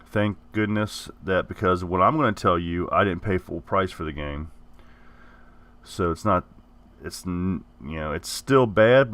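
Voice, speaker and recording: A man's voice: sits low, a bit bright. A man hosting his gaming channel who is getting over the flu, talking casually and correcting himself as he goes. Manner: rambling, repeats and corrects himself. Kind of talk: casual giveaway announcement.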